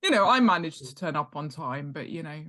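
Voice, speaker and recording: A woman's voice: low voice, expressive, a little fast, bright, forceful tone. A woman in her forties chatting casually as a podcast guest, with a playful, joking manner. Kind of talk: casual interview chat.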